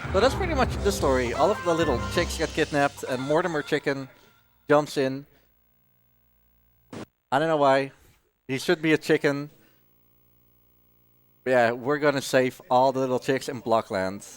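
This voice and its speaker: A man's voice: medium pitch, moderate pace, pauses often, no echo, soft background music. A man giving casual, joking live commentary as a speedrunner, chatty throughout.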